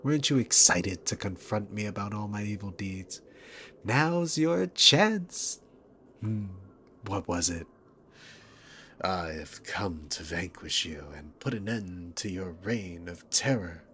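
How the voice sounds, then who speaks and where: A man's voice: deep voice, animated, takes it slowly, sharp tone, slight background noise, full character voice. A man voicing a dominant, sarcastic demon king villain in an ASMR roleplay, talking to the listener with mocking, theatrical condescension. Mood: teasing.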